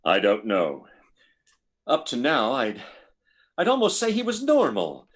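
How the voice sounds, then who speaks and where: A man's voice: mid-range voice, wildly swooping pitch, a little slow. Man as worried medic, hugely swooping voice. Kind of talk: live radio drama acting. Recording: noisy live online recording.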